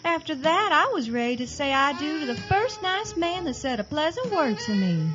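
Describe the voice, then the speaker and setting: A flirtatious, hot-tempered wife, acted by a woman in a campy radio parody. The voice is high and very lively, on a dull lo-fi recording with music. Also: moderate pace. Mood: sultry. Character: Liz, fiery, flirtatious rural Southern wife, a woman.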